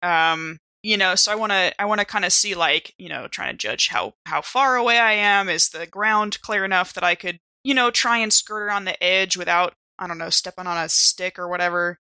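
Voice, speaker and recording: A woman's voice: fairly low pitch, moderate pace, hardly any pauses, pushed and bright, smooth, no echo. A woman chatting casually as a player in a tabletop game, informally thinking aloud.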